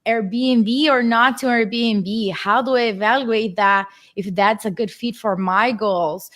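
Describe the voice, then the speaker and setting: A woman's voice: on the high side, slow, a bit bright. A woman with a Brazilian Portuguese accent, speaking English as a second language, explaining her work earnestly on a video call interview. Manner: earnest, explanatory, slightly non-native phrasing. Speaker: guest speaker, real estate investor. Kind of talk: casual interview.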